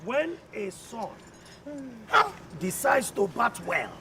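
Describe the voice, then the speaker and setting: A man's voice: high voice, very animated, slow, vocal fry, heavily acted. A man acting a stern village elder in a drama, declaiming emphatically with a Nigerian accent. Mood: indignant. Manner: emphatic, declamatory, proverb-laden. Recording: crowd murmur behind.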